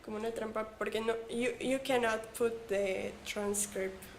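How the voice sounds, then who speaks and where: A woman from Mexico with a Mexican accent and Spanish as her first language, talking haltingly as a guest and searching for her words. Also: a little high, steady tone, echoey room, a little hiss or hum. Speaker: guest, former call center agent. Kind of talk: casual conversation practice.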